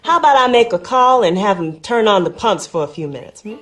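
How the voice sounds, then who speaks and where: An actress playing a sassy, warm-hearted, folksy woman with a Southern American accent in a video game. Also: medium pitch, expressive, fairly quick, over music, close to an everyday voice. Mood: grateful, sweet. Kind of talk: video game dialogue acting.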